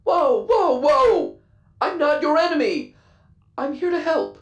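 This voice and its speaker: Woman as a lively little hovering robot. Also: sits high, takes it slowly, soft background music, full character voice. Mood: pleading. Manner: pleading, earnest. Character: small hovering robot, friendly, pleading, advice-giving.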